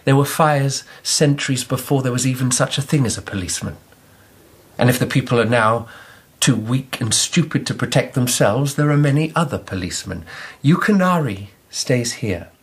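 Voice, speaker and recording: A man playing an imperious, introspective emperor in a radio drama, speaking in a measured, commanding way. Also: mid-range voice, animated, slightly rough.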